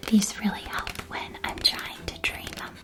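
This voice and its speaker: A woman playing a kindly, chatty candy shopkeeper in a witch world, in an ASMR roleplay with gentle, helpful sales patter. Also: moderate pace, lightly acted.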